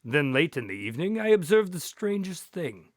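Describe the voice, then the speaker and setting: A man playing an earnest, observant doctor who investigates a case, speaking in a measured, explanatory way in an audio drama. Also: fairly high pitch, sing-song, no room sound, studio quality. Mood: recounting, suspicious.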